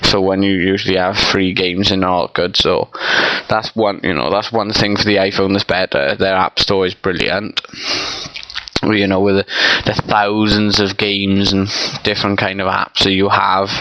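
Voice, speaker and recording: A man's voice: sits low, little pitch movement, moderate pace, a bit bright, no room sound, low-quality recording. A young man with a stuffy, congested nose, rambling casually in a vlog with lots of ums and you-knows. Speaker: vlogger. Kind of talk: casual unscripted opinion vlog.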